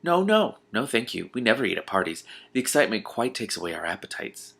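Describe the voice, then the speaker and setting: A man's voice: lowish voice, big pitch swings, brisk, gravelly, fairly bright tone, husky, heavily acted. A man voicing a snobbish teenage girl from a storybook, prim, condescending and boastful. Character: snobbish, boastful older sister, a girl in her teens.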